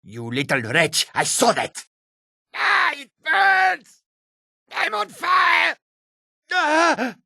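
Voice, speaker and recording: Raspy cat man from a fantasy game, combative and wary, unhurried pace, very dry close recording. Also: very high voice, very animated, sharp tone, dry recording, shouting, heavily acted. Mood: outraged, then panicked. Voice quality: gravelly, raspy. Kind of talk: video game character barks.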